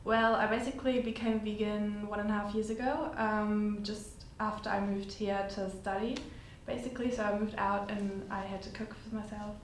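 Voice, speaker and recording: A woman's voice: mid-range voice, little pitch movement, moderate pace, few pauses. A woman in her twenties from Germany, chatting casually as a podcast guest with lots of fillers. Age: 20s. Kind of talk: casual chat. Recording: echoey room with faint noise.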